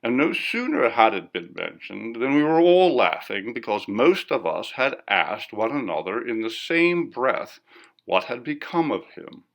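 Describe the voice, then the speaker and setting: A man's voice: medium pitch, big pitch swings, unhurried, a bit bright. A man narrating a classic ghost story as a scripted audiobook reading.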